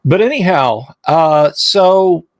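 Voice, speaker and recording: A man's voice: on the high side, expressive, takes it slowly, dry recording. A man hosting a casual livestream chat, rambling and self-deprecating, often restarting his sentences. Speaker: livestream host.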